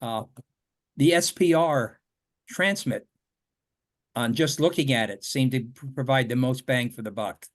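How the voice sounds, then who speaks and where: A man's voice: medium pitch, unhurried, pauses often. Plain-spoken man with a mid-range voice. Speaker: meeting participant asking questions. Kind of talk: casual question and discussion. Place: remote teleconference call.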